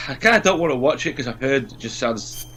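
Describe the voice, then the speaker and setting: A man's voice: medium pitch, animated, brisk, breathy edge. A British man giving casual co-commentary on a video game. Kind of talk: casual gameplay commentary chat. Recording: loud game music behind.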